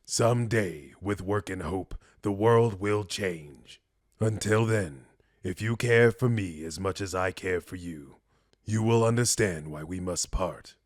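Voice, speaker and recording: A man voicing a courtly, earnest mutant scientist with a gentle, formal, eloquent manner. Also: sits low, a little slow, no room sound, studio quality. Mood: sorrowful, earnest. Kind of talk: superhero cartoon character demo.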